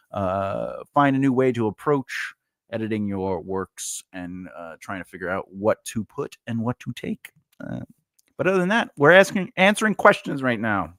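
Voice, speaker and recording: A man's voice: medium pitch, a bit bright, dry recording. Chatty man in his forties, dry sound. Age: 40s. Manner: chatty, rambling, laughs often. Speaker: writing teacher and developmental editor. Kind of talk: casual live-stream Q&A.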